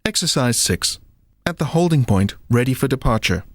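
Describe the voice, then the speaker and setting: A man's voice: medium pitch, lively intonation, normal speed, noisy background. A man giving short scripted announcements of exercise scenarios.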